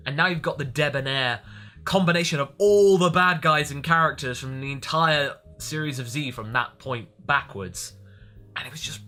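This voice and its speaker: Animated, bright-voiced man vlogging. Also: on the high side. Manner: enthusiastic, animated. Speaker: online vlogger. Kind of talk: casual question-and-answer vlog.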